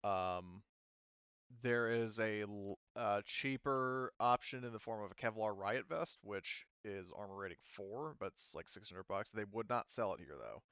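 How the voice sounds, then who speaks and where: Low, smooth-voiced male game master. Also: a little slow, fairly bright tone, no echo, highs cut off. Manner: hesitant, many ums and restarts. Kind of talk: explaining rules in a tabletop game session.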